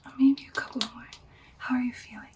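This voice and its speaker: A woman playing an affectionate, caring vampire girlfriend in a whispered ASMR roleplay, speaking softly and reassuringly, slightly off the mic. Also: sits high, fairly even intonation, quick pace, far from the mic, very noisy, natural voice. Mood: caring, checking in. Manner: soft, soothing, reassuring personal attention.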